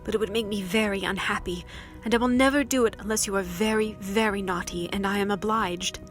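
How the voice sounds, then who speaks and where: A woman's voice: on the high side, lively intonation, moderate pace, faint music behind. A woman voicing a loving mother of small children in a storybook, tender and earnest. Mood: sad. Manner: tender, gentle.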